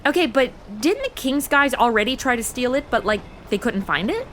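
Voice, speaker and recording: A woman's voice: sits high, sing-song, brisk, a bit bright, slight background noise. A woman playing a slangy, blunt, sarcastic modern young woman who is a detective's sidekick in a comedy audio drama. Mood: wry, questioning.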